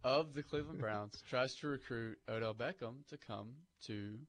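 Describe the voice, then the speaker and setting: A man's voice: mid-range voice, moderate pace. A man on sports talk radio, reeling off statistics and weighing up a trade with a few fillers. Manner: rattles off stats, many fillers.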